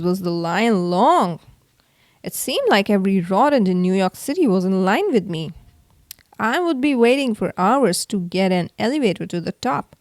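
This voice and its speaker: Female children's read-aloud narrator: expressive, slightly high, unhurried, dry sound. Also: dry recording. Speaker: storyteller.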